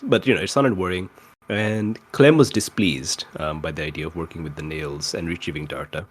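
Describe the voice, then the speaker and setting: Low-voiced man recapping a roleplay game. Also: moderate pace, husky. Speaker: player in a tabletop roleplay podcast. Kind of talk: casual recap of a roleplay campaign.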